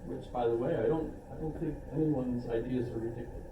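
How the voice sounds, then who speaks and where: A man's voice: medium pitch, fairly even intonation, a little fast, highs cut off, noisy background. An older man teaching a philosophy class in a casual, rambling way, with asides and self-deprecating jokes. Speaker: philosophy instructor. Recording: echoey classroom recorded from a distance.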